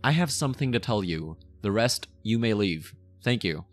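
A man's voice: on the low side, lively intonation, soft background music, lightly acted. A man playing a possessive, menacing yet playful mafia boss in an ASMR roleplay, with a teasing, theatrical delivery. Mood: commanding, menacing. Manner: slow teasing, sinister chuckles, theatrical.

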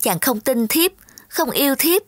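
A woman's voice: high-pitched, expressive, a little slow, dry recording, clean studio sound, pitched above the performer's own voice. A woman voicing a greedy, scheming young fairy-tale princess in a children's cartoon, with an affected, coquettish manner. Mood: coaxing, sweet. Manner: coaxing, affected.

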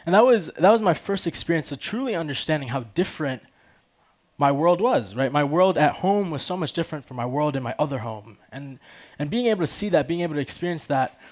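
A man's voice: a little high, expressive, fairly quick. An American man of about twenty giving an informal, conversational stage talk, casually peppered with "you know" and "like". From Chicago, United States, born in Afghanistan. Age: around 20. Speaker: conference speaker. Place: large auditorium stage with audience. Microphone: headset.

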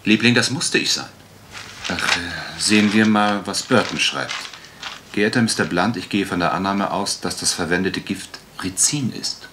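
An actor playing a politely relentless English gentleman detective in a German radio crime drama, questioning with insinuation. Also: deep voice, moderate pace, slight background noise. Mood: composed.

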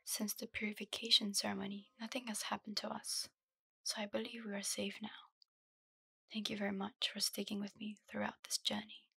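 A young woman reading a story aloud in a slow, soft, breathy ASMR whisper, her voice low. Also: flat delivery, dry recording. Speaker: ASMR host. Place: dark room lit by a candle.

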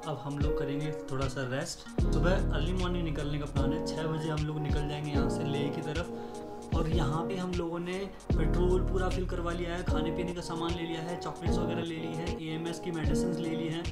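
A man's voice: a little high, level tone, a little fast. A young man vlogging casually to camera from a small hotel room, chatting directly to his viewers. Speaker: travel vlogger. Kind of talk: casual vlog talk to camera. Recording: roomy echo, loud guitar music behind.

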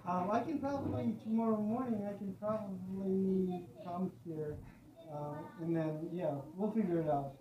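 A woman's voice: mid-range voice, normal speed, roomy sound. An American woman vlogging outdoors in a crowded slum, talking casually with many restarts and fillers. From United States. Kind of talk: casual conversation. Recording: muffled camera audio, crowd behind.

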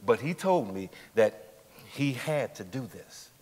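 A reverberant theatre stage carries a man playing a middle-aged working man giving court testimony. He is steadfast and formally polite, answering deliberately with small hesitations, at an ordinary male pitch. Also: expressive, a little mellow. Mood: aggrieved, recounting. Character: steadfast forty-nine-year-old working man testifying as witness. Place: large indoor theatre stage. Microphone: lapel mic.

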